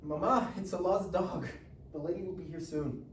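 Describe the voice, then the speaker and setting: A man's voice: a little high, moderate pace, lightly acted. A man playing a snappy, defensive, foul-mouthed teenage boy in a live comedy table read. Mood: pleading. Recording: echoey room, distant mic, faint noise.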